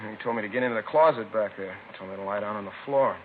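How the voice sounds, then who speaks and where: A man's voice: lowish voice, rapid-fire, lightly acted. An American man playing a plain-spoken liquor store keeper who was robbed, terse and colloquial, in a 1950s radio drama. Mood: matter-of-fact, rattled. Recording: tinny, lightly noisy 1950s radio recording.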